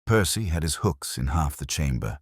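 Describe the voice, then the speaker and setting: A man's voice: rumbling low, sing-song, normal speed, no echo, clean studio sound, full character voice. A man voicing a composed, bitter middle-aged woman who speaks in a cool, cutting way. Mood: controlled.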